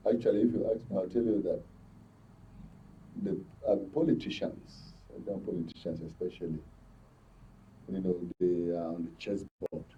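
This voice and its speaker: A Nigerian man speaks as a political commentator in a fairly low voice. His delivery is halting as he searches for words. The sound is dull and somewhat distant from the microphone. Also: fairly even intonation, dark tone, a little hiss or hum. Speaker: studio guest, political commentator. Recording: muffled, far from microphone.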